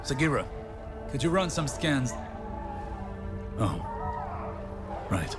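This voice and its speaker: An actor playing a learned, reserved older warlock scholar in a video game, formal and pensive. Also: male voice, medium pitch, big pitch swings, takes it slowly, over loud music.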